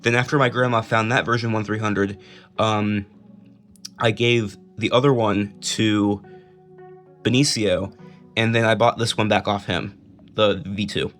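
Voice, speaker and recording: A man's voice: lowish voice, steady tone, a little slow, pauses often, a bit bright, soft background music. A young American man giving a casual, informal, matter-of-fact walk-through of a personal collection. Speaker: collector presenting own collection. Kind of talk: casual collection showcase narration.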